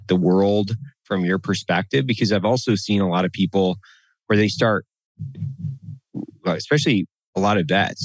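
A man co-hosting a podcast in casual, profane banter, blunt and animated, talking into a boom mic in a studio room. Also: no room sound. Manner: blunt, animated, swears freely. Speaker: podcast co-host. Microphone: studio mic on boom arm.

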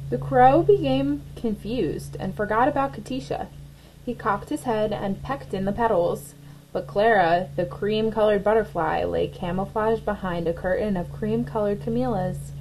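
A woman reads a children's story aloud with expressive, clear phrasing. Her pitch is average, and there is clear background noise on the recording. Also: normal speed, poor audio. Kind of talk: children's picture book read-aloud.

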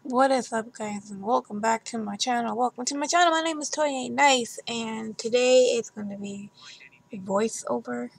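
A woman's voice: on the high side, normal speed, gravelly. A young woman chatting casually in a gameplay voiceover, rambling with fillers, losing her voice. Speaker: gaming YouTuber. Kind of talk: casual game commentary voiceover.

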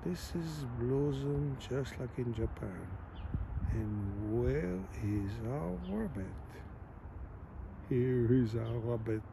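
A man with a foreign accent gently narrating a morning walk through a park while filming with a handheld camera. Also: mid-range voice, animated, takes it slowly, gravelly, noisy background. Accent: foreign accent, French or Italian. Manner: gentle, admiring, simple phrasing with non-native grammar. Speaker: vlogger filming a nature walk. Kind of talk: casual walking commentary. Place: outdoors in a city park by a lake.

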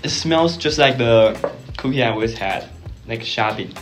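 A young man gives casual reactions in an indoor room. His pitch sits in the middle, and music plays clearly behind him. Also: moderate pace. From Taiwan. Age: young adult. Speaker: snack taster. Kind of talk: casual on-camera reaction chat. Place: indoor room with block wall, seated at table.

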